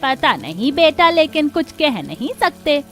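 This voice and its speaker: Worried, gossipy cartoon mother-in-law, woman performer, cautioning, somewhat high, with music underneath. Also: sing-song, unhurried, fairly bright tone, full character voice. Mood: uneasy. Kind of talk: Hindi moral-story cartoon dubbing.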